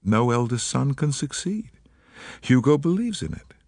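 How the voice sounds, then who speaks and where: A man voicing a determined American woman of about thirty with an American accent, earnest and quick. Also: mid-range voice, sing-song, a little mellow, no room sound, clean studio sound, pitched above the performer's own voice.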